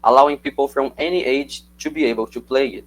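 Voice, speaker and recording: Young man, a native Portuguese speaker, reading a scripted talk to slides in an even middle pitch. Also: a little fast, poor audio. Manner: reads prepared script, calls for slides. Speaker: student presenter. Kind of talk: prepared slide presentation.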